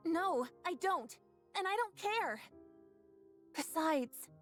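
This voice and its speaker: A woman's voice: very high voice, lively intonation, slow pace, faint music behind, exaggerated voice. A woman playing a timid but stubbornly loyal young female android soldier in an anime game dub, earnest and pleading. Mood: upset, defiant.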